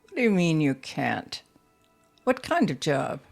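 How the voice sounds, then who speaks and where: An inquisitive, persistent lady of the house in an audio play. She coaxes and probes at a slow, deliberate pace, in a slightly low register. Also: huge pitch swings, creaky, darkish tone, soft background music, exaggerated voice. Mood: curious, probing. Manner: probing, coaxing, unhurried. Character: curious, insistent female portrait sitter with a big house. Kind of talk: audio drama dialogue.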